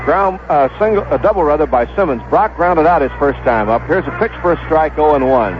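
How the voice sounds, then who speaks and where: A man's voice: a little high, animated, brisk, dull audio, over music. A man with an American accent calling baseball play-by-play on a 1970s radio broadcast. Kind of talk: live radio sports commentary. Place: stadium broadcast booth.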